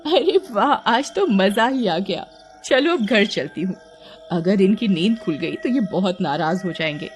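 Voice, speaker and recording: A woman's voice: mid-range voice, animated, measured pace, soft background music, natural voice. A woman voicing an eager young wife in a cartoon horror story, coaxing and exclaiming expressively. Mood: delighted. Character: eager young wife, playful and pleading, later terrified.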